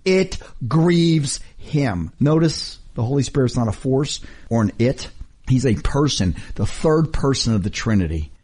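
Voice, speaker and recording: A man's voice: a little slow, breathy edge. A middle-aged man preaching a radio sermon, emphatic and full of rhetorical questions; English is not his first language. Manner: emphatic, rhetorical questions, lively swings in pitch.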